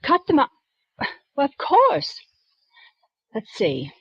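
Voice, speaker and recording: An actress playing a prim, reserved librarian who speaks in a precise, proper way, in 1940s radio acting. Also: female voice, high-pitched, wildly swooping pitch, slow pace, fairly bright tone, dry recording, heavily acted. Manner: precise, proper, rule-bound speech. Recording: dull dry 1940s radio broadcast recording.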